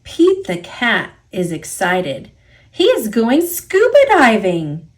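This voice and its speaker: A woman reading a children's picture book aloud in an expressive, deliberate storytelling way for young listeners. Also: a little high. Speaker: storybook reader. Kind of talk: children's read-aloud narration.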